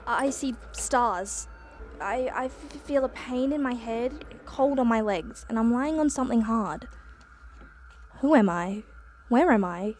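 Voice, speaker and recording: A woman's voice: on the high side, a little slow, darkish tone, slight background noise, close to an everyday voice. A woman acting a naive, breezy young influencer-type reporter in a radio play, with chatty phrasing. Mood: dazed, pained.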